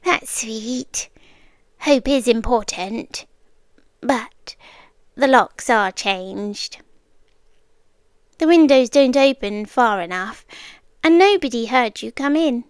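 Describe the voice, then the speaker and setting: Woman playing a high, sweetly menacing British yandere girlfriend. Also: animated, slow pace, long pauses, clear, resonant tone, no room sound. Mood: softly menacing. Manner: coy, cooing, with soft giggles and whispery dips. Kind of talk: intimate ASMR roleplay.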